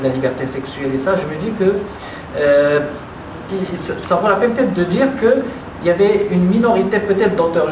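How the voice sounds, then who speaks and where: A man moderates a literary panel and fires off quick questions to the guests. He is far from the mic in an echoey room, with clear background noise. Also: on the high side.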